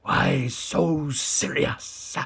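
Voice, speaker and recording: A man's voice: on the high side, steady tone, drawn-out words, gravelly, a bit bright, slightly rough, pitched above the performer's own voice, full character voice. A man putting on the voice of an unhinged villain clown, taunting and drawn out.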